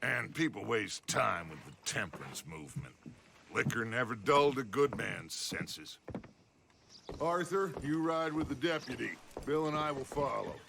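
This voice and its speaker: An actor playing a grandiose, silver-tongued outlaw gang leader, American, with a theatrical, unctuous manner. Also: male voice, mid-range voice, lively intonation, a little slow, vocal fry, background noise. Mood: smug, scheming.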